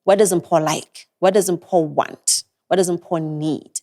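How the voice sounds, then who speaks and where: Young woman w an american-sounding accent, kinda low voice, thoughtful and earnest, repeats her points for emphasis. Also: fairly even intonation, slow pace, dark tone. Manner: reflective, earnest advice with emphatic repetition. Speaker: guest. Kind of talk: podcast interview.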